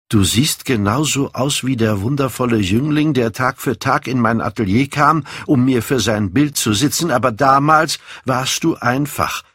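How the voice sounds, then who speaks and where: A male reader acts out an older painter in an audiobook, earnest and sorrowful. He speaks in a pained, hushed way with a husky edge. The recording is dry and close. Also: medium pitch, no echo, pitched above the performer's own voice. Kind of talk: dramatic audiobook character reading.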